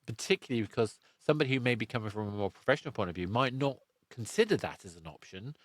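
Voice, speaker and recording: A man's voice: mid-range voice, expressive, fast, no echo, clean studio sound. A man hosting a podcast, chatting casually in a rambling, reflective way. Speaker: podcast host and interviewer. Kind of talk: casual interview chat.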